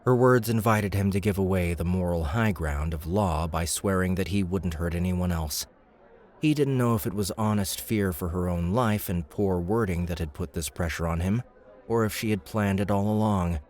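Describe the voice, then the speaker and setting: A man's voice: sits low, moderate pace, a little mellow. A man narrating a fantasy audiobook as a scripted read.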